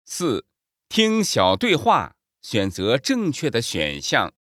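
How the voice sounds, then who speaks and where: A man delivers scripted exam instructions with formal, measured enunciation. His pace is very slow, his pitch rises and falls dramatically, and the recording is dry and close. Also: huge pitch swings, fairly bright tone, no echo. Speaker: exam announcer.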